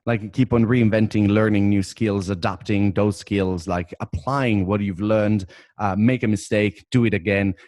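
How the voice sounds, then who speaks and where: Man, italian native, low voice, dry sound, enthusiastic, casual chat. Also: fairly even intonation, measured pace, darkish tone, no room sound. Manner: enthusiastic, laughs often. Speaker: podcast host.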